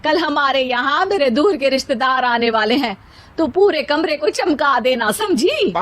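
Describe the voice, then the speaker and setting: Cartoon mother-in-law, older played by a woman: high, slow, commanding, exasperated, melodramatic. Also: expressive, a bit bright. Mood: bossy.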